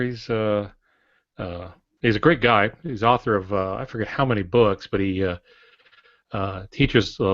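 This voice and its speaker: A man's voice: medium pitch, no echo. Older man, dry dull sound, casual co-host. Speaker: podcast co-host. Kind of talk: casual chat.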